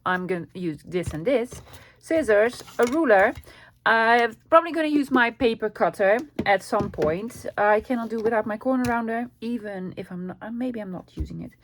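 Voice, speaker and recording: A woman's voice: a little high, lively intonation, moderate pace, a little hiss or hum. A woman from the Netherlands with a Dutch accent, chatting casually at her craft desk as host of her channel, rambling with many ums and asides. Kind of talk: casual craft tutorial chat.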